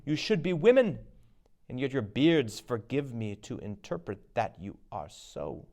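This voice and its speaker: A man's voice: medium pitch, sing-song, unhurried, darkish tone. A man playing a bold, curious noble warrior in a dramatic Shakespeare reading, declaiming his questions. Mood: amazed.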